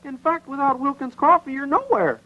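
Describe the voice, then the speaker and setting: A high, nasal little puppet salesman, chirping his pitch with sly, menacing punchlines. It comes through a dull, lo-fi vintage commercial track, like an old television spot. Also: big pitch swings, moderate pace, pushed and bright, full character voice. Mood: chipper, pushy. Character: small cheery male puppet coffee salesman, pushy. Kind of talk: 1950s TV commercial puppet skit.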